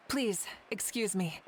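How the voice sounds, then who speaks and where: Composed warrior leader, mid-pitched. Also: female voice, slight background noise. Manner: calm, formal, thoughtful. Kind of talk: video game voice acting.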